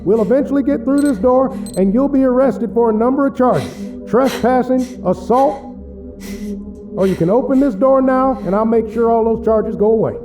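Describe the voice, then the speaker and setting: High-pitched, distant, coercive male official. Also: warm tone. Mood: controlled threat. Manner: measured, formal, negotiating. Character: controlled, coercive federal official offering veiled threats. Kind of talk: audio drama acting. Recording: distant from mic, music behind.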